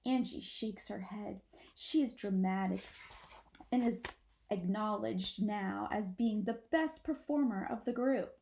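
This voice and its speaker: A woman narrating a children's storybook aloud in an expressive style, like a teacher reading to pupils. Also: mid-range voice, unhurried, creaky.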